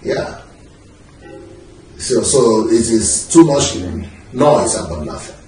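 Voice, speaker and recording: A man talking in a relaxed, emphatic way and gesturing freely as he lounges on a sofa in a living room, recorded from a distant camera. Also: mid-range voice, takes it slowly, a little hiss or hum. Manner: animated, gesturing, emphatic with laughs. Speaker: pastor being interviewed. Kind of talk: relaxed conversational interview. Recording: echoey room, mic at a distance.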